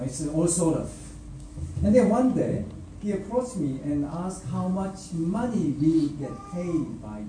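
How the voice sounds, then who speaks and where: Man preaching, dark mellow voice, slow and deliberate, echoey hall, away from the mic. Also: fairly high pitch, far from the mic, slight background noise. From raised by a North Korean father. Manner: slow, deliberate delivery with pauses and filler words. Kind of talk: sermon with a personal mission story.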